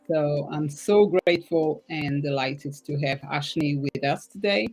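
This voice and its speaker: A woman's voice: sits low, husky, low-quality recording. A woman from Portugal hosting a casual podcast interview, enthusiastic, laughing often, her English sometimes slipping. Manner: enthusiastic, laughs often, speaks non-native English with slips. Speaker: podcast host.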